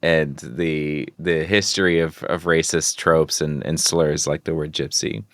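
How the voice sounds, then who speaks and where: A man co-hosts in a deep, smooth voice, recorded close and dry. He talks casually, reacting and asking questions in plain, informal words. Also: fairly even intonation, unhurried, fairly bright tone, no echo. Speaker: podcast co-host.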